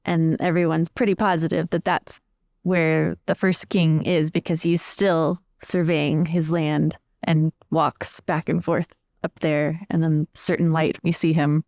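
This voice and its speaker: Woman, lowish pitch, chatty, explanatory, improvised storytelling, tabletop game, dry sound. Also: level tone, a little slow, darkish tone, dry recording. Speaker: tabletop role-player. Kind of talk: improvised in-character storytelling, conversational.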